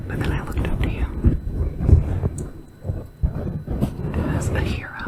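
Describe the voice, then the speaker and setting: A woman playing a gentle, devoted young sleep assistant in a fantasy ASMR roleplay, hushed and breathy, soothing and attentive. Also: distant mic, lightly acted, rough recording. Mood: earnest, grateful. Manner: soothing, attentive, earnest, unhurried. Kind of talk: soft-spoken and whispered ASMR roleplay.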